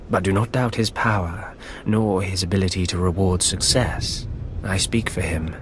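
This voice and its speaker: An actor playing a scheming, deferential dark apprentice in a video game, speaking formally and persuasively in measured phrases. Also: male voice, sits low, slight background noise, close to an everyday voice. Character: scheming Sith apprentice, deferential servant of shadowy master. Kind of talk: video game cutscene acting.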